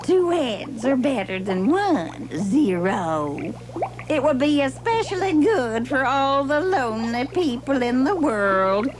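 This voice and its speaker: High, swooping, scheming witch. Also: big pitch swings, crowd noise, exaggerated voice. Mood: gleeful scheming. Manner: drawn-out, gloating, exclamatory. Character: scheming, vain witch brewing potions. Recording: music under 1970s television soundtrack.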